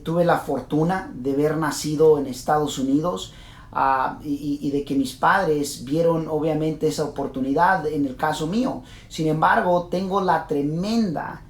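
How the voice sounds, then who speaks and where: A man in his late thirties with a Mexican accent, earnestly telling his life story to course subscribers, with many fillers and restarts. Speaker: real estate investor and course host. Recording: roomy with faint rumble.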